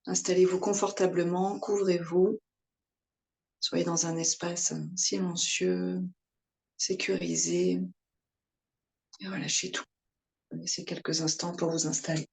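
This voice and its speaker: A woman leads a guided relaxation as a yoga teacher. Her delivery is calm and soothing, very slow and broken into short phrases. The voice stays flat and even, with a noticeable creak. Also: lowish voice. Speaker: yoga nidra instructor.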